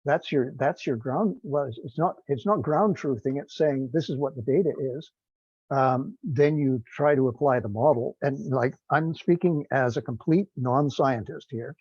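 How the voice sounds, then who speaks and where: A man's voice: medium pitch, normal speed, a little mellow, slightly rough, no echo. A man talking informally in a technical teleconference, hesitant, rambling and self-deprecating, over a call connection. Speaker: non-scientist project participant in a group technical call. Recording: dull lo-fi conference call, dry.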